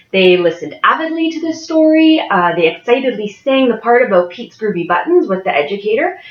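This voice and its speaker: A young adult woman reading a picture book aloud to children in an expressive way. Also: fairly high pitch, reverberant, lo-fi sound. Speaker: early childhood education student reading to children. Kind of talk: picture-book read-aloud with sing-along.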